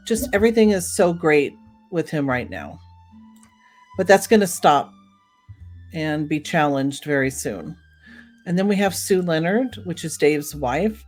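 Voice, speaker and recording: Slow, relaxed woman podcast host. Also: lowish voice. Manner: relaxed, chatty, with fillers. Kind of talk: casual podcast introduction.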